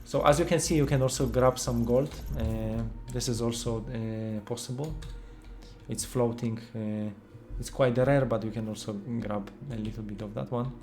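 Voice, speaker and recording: A man with a Polish accent, a native Polish speaker, casually commenting on a game he is playing while thinking aloud with many fillers and pauses. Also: mid-range voice, fairly even intonation, a little mellow, slight background noise. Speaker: game developer streaming gameplay.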